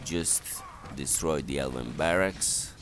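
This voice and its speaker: A man giving step-by-step, halting instructions as commentary for a strategy game walkthrough. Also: extremely low pitch. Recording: clearly noisy voice recording.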